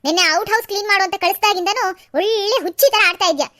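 Woman as a fast-talking, nagging mother-in-law; high voice, dry close sound. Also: dry recording. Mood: scolding. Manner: nagging, sharp scolding. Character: stern scolding middle-aged mother-in-law. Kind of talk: Kannada audio drama.

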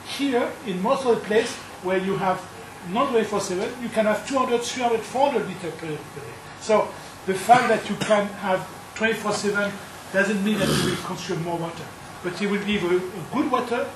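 A man from Europe in his fifties explains his points step by step on a conference panel, with a fairly high voice. The hall adds a roomy echo, he sits away from the mic, and a steady noise runs beneath. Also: normal speed, recorded from a distance. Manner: animated, explaining with points in order. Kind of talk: panel discussion answer. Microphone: table mic, close camera.

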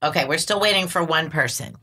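A woman's voice: low voice, brisk, pushed and bright, husky. A woman hosting a literary podcast, upbeat and leading the conversation. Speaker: podcast host. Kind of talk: casual literary discussion.

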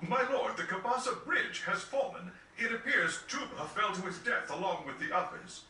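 Casting: fawning game henchman, very high, swooping voice, echoey distant sound. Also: animated, moderate pace, sharp tone, heavily acted. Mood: alarmed report. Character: agitated, deferential male servant of a lord. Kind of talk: fantasy video game cutscene acting. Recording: echoey, noisy distant capture off a TV.

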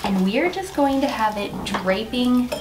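A woman's voice: on the high side, lively intonation, measured pace, heavy background noise. Bubbly woman showing table decor. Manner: chatty, bubbly, self-teasing. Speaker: home decor host. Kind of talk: casual how-to vlog. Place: dining room indoors.

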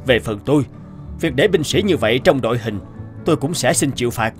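A man's voice: medium pitch, animated, a little fast, faint music behind, lightly acted. A man voicing a stern, dutiful army squad leader in a cartoon, formal and commanding. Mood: urgent appeal.

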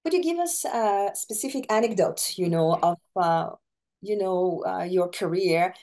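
A woman's voice: lowish voice, a little slow, darkish tone. A woman in her forties interviewing over a video call, asking questions with many hesitant fillers.

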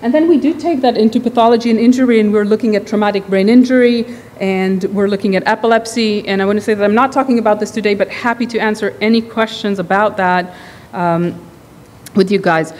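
A woman's voice: medium pitch, a little mellow. A middle-aged woman with an Israeli accent, a neuroscience professor giving a conversational academic lecture at a podium mic. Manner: quick, conversational, with restarts. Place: large hall with reverb. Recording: reverberant hall, faint background noise.

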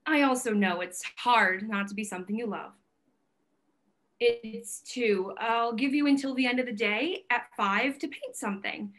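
A woman's voice: on the high side, fairly bright tone. A woman playing a fiery, idealistic gallery owner in a staged play reading, speaking assertively and emphatically. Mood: stern, relenting. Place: plain indoor room, video call.

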